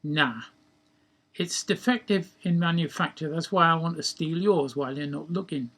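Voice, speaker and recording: A man voicing a cheeky, scheming male joker in a story, glib and blunt as he thinks out loud. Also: on the high side, normal speed. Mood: breezy, scheming.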